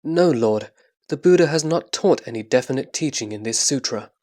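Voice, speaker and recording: A man's voice: mid-range voice, expressive, moderate pace, darkish tone, lightly acted. A man playing a devoted disciple of the Buddha, speaking earnestly and reverently in a dramatised scripture reading. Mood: respectful.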